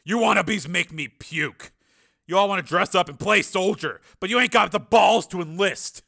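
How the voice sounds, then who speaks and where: A man voicing a gruff, bullying army sergeant in an audiobook, coarse and commanding. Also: high-pitched, moderate pace, sharp tone, voice pushed up, heavily acted. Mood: contemptuous.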